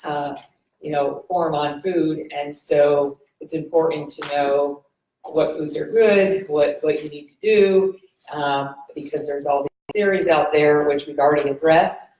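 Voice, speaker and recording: A woman's voice: low voice, fairly even intonation, a little slow, darkish tone. A woman oncologist moderating and giving a conference talk, chatty with many fillers, laughing at herself. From United States. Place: conference hall. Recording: roomy hall sound.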